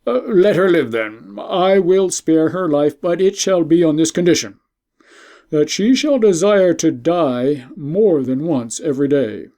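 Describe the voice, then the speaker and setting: A man's voice: on the high side, animated, measured pace, dark tone. A man voicing a stern, angry male emperor who hands down a harsh sentence in a storybook reading. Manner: commanding, imperious.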